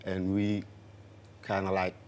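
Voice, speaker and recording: Brief: middle-aged man from Senegal, lowish voice, halting friendly explaining, faint studio hum. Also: monotone. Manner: warm, halting, with many fillers and restarts. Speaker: dance teacher and guest. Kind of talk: studio interview.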